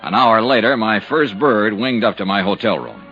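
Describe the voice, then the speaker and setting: An actor playing a hard-boiled, wry insurance investigator in 1950s radio detective style, clipped and self-assured. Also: male voice, lowish voice, animated, moderate pace, over music. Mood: brisk, narrating. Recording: dull old radio recording.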